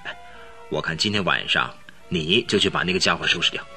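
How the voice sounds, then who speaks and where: An actor playing a young count who leads a gang, in a radio drama, speaking in a cold, calculating, smug way. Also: male voice, deep voice, a little fast, bright, forceful tone, faint music behind, exaggerated voice. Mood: scheming.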